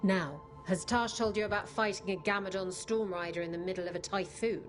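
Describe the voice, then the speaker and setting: An actress voices a playful woman who leads treasure hunters in a fantasy game. Mid-pitched and teasing, she relishes her words over music. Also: fairly quick, close to an everyday voice. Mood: playful, tempting. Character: teasing, adventurous woman treasure-hunter leader with a flair for glory. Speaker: voice actor. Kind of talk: video game cutscene acting.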